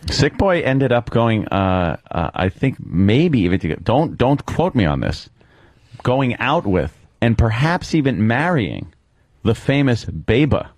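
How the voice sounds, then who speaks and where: A male radio co-host rambling through stories with many filler pauses and the odd curse. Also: mid-range voice, big pitch swings, darkish tone, no echo. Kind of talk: casual radio banter. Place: radio studio.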